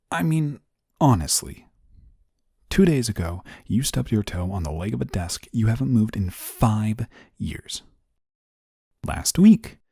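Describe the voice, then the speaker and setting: An exasperated guardian angel played by a man scolds his charge in an audio roleplay. His voice is on the low side and a little husky, swinging widely in pitch as he emphasizes his points. He comes across as fed up yet protective. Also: dark tone, dry recording, clean studio sound, full character voice. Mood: exasperated, alarmed. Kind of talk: audio roleplay addressed to the listener.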